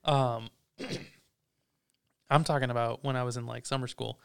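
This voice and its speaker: A man's voice: medium pitch, fairly even intonation, moderate pace, creaky, clear, resonant tone. A man co-hosting a casual podcast chat, joking and boastful, swearing freely. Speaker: podcast co-host. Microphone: headphones on, podcast mic.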